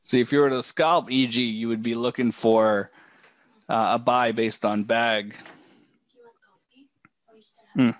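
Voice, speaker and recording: A man coaching a live trading session from a home office, talking in a relaxed way with many fillers, a bit hoarse and often clearing his throat. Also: medium pitch, slow, long pauses, no room sound. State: losing his voice.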